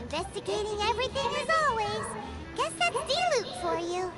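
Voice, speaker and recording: A woman voicing a small, chirpy, inquisitive female companion in a video game, with a squeaky childlike voice. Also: very animated, moderate pace. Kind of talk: scripted video game dialogue. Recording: loud game music underneath. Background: loud game music.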